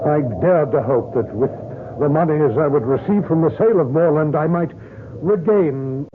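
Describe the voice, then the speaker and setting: An actor playing a disgraced, despairing doctor in a radio drama, speaking in a florid, halting, impassioned way. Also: male voice, a little high, close to an everyday voice. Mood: anguished, pleading. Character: disgraced, despairing doctor clinging to his pride. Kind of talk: old-time radio drama acting. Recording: noisy, narrow-band old radio recording.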